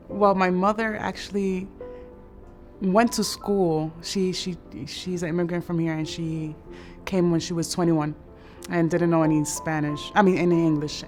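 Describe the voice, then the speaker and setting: A woman student in an interview testimonial, telling a personal story a little haltingly. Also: on the low side. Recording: soft music underneath. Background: background music.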